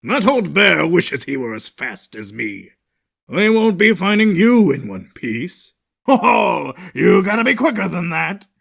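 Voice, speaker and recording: A man voicing a mischievous animatronic pirate fox for a video game, taunting in creaky pirate talk. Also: high voice, very animated, measured pace, fairly bright tone, full character voice. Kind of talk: video game character voice lines.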